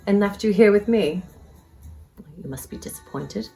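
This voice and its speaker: A woman's voice: mid-range voice, expressive, normal speed, gravelly, mellow, faint music behind, low-quality recording. A woman playing a prying, easily sulky young woman in an amateur dramatized novel reading. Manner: pointed, probing questions.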